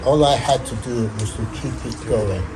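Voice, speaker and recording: An older man from Nigeria, talking slowly and deliberately as an interview guest in a studio. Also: medium pitch, heavy background noise. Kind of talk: conversational interview.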